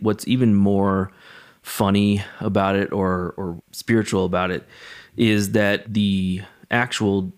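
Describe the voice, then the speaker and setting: A man speaking as a pastor in a casual, halting and reflective devotional style, telling personal stories in a conversational way. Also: sits low, a little mellow.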